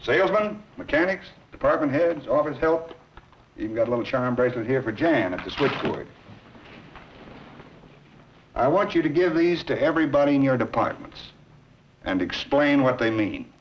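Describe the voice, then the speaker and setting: An actor playing a calm, persuasive dealership manager in a vintage training film. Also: male voice, mid-range voice, moderate pace, pauses often, a little hiss or hum, close to an everyday voice, low-quality recording. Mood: instructive. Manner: reasonable, persuasive, instructive. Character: earnest middle-aged male dealership manager. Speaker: dealership boss. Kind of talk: 1950s training film acting.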